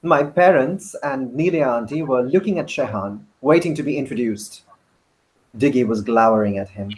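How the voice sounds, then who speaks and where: A man, an actor, narrating a first-person novel in a measured, deliberate dramatic reading. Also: mid-range voice, a little mellow, rough recording.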